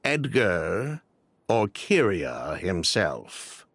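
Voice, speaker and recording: A man voicing a shifty, smooth-talking swindler in a video game, theatrical and self-justifying. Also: deep voice, wildly swooping pitch, drawn-out words, a bit bright, a little hiss or hum, exaggerated voice. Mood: evasive.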